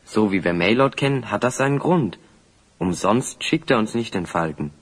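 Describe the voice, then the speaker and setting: A man playing a cheeky, plain-spoken adventurer with a husky voice and a breezy, mocking way in a fantasy audio play. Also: on the low side, expressive, moderate pace. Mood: matter-of-fact.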